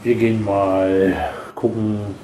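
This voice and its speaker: A man's voice: fairly low pitch, fairly even intonation, takes it slowly, dark tone. A man giving casual gameplay commentary in German as a let's player. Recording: roomy echo, faint background noise.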